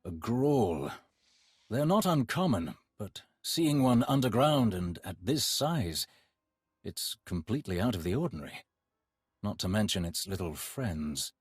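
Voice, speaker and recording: A composed Sith lord from a video game, with a low, husky voice. He speaks formally and wryly, with lively swings in intonation and a close, dry sound. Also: dry recording, full character voice. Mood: puzzled. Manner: formal, wry, measured.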